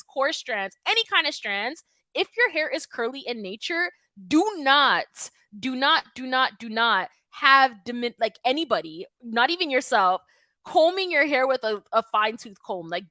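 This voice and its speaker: A woman from Toronto hosting a live stream, answering viewer questions in an emphatic, animated, conversational way. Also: on the high side, no room sound. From Toronto, Canada. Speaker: natural hair YouTube host.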